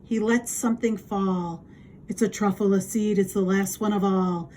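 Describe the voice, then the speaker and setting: A woman reading a children's story aloud in an expressive, measured and deliberate way. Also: medium pitch, slight background noise. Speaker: storybook reader.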